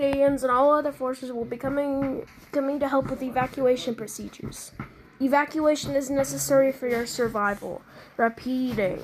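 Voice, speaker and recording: A woman narrating a mock emergency alert scenario, halting and sometimes repeating or stumbling over her words. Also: sits high, darkish tone, poor audio. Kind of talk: scripted emergency announcement with ad-libbed reactions.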